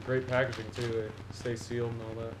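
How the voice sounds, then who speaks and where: A teenage boy talking casually about his fishing baits from a couch, filling the gaps with ums and restarting his sentences. His voice stays level and flat, of middling pitch. The small room bounces the sound back, and a steady hiss sits underneath. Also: fairly quick. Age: teens. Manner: rambling, many ums and restarts, enthusiastic about gear. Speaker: fishing vlogger. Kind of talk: casual gear haul video. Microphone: camera on the floor in front of him. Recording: echoey room with a steady noise floor.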